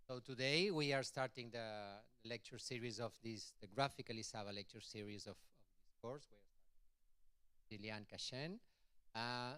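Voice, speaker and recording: A man who organizes a lecture series introducing the speaker, halting and repeating words in English that is not his first language. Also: on the low side, fairly bright tone, clear, resonant tone, dry recording.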